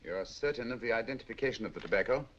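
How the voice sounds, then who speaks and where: A man playing a shrewd, calm detective in an old radio drama, speaking in a measured, courteous, dryly confident way. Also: medium pitch, a little hiss or hum, lightly acted. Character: shrewd, calm male detective, middle-aged. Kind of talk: 1940s radio acting. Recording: dull old radio audio.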